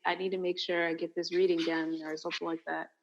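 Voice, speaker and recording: Low-voiced woman, laid-back podcast chat. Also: fast, a little hiss or hum. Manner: relaxed, chatty, laughs often. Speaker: podcast co-host, educator. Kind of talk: casual conversation. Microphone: video call. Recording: dull lo-fi video call audio.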